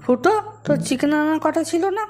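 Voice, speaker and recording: A man voicing a bossy, exasperated ringleader of a rowdy gang in an amateur comedy cartoon, crude and grumbling, slightly pitch-raised. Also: brisk, music in the background.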